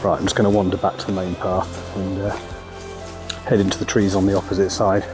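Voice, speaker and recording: A man with a croaky voice from a cold, talking casually and hesitantly while walking in a forest. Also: low voice, brisk, loud music behind the voice. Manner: relaxed, hesitant, many ums. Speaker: photography vlogger. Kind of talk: casual walk-and-talk narration. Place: outdoors in a forest.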